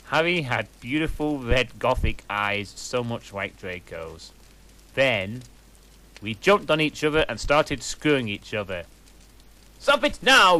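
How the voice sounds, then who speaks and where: A man reading a fanfic aloud in a deadpan way, with sarcastic asides. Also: medium pitch, low-quality recording. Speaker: reader.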